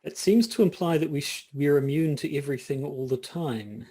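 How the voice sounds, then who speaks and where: A man's voice: a little high, moderate pace, crowd noise. A middle-aged man with a New Zealand accent, co-hosting a podcast in casual chat, often restarting his sentences and repeating words. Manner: hesitant, many false starts and repeated words. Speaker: podcast co-host.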